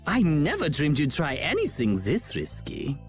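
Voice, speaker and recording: Suave, lilting villain. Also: male voice, fairly high pitch, wildly swooping pitch, moderate pace, faint music behind. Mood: smug. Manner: theatrical, mock-polite. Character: suave, mocking male villain, smug schemer. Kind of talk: video game dub acting.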